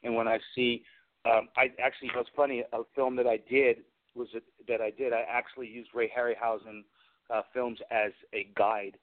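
Male interview guest, phone-line sound, enthusiastic, rambling storyteller, conversational, medium pitch. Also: level tone, normal speed. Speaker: guest, special effects artist and creature performer. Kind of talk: conversational anecdote telling in an interview. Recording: tinny phone-like radio call.

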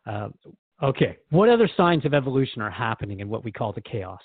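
A male radio host with a mid-pitched voice, heard through dry, phone-like audio. He interviews in a conversational way, curious and a little tentative, thinking aloud as he puts his questions. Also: big pitch swings, brisk, breathy edge, no room sound.

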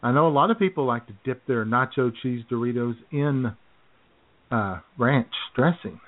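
A man's voice: medium pitch, normal speed. A man chatting casually as a talk show co-host, with a laid-back, hesitant, rambling manner. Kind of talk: casual chat and banter. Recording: thin phone-line sound.

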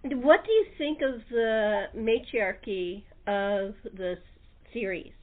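Higher-voiced woman on a phone-like line. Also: lively intonation, slow pace. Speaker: radio show host. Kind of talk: casual interview chat.

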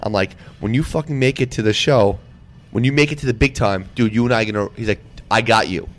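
A man's voice: fairly low pitch, fast. A man hosting a podcast, brash and swearing as he tells stories in casual chat. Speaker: podcast host.

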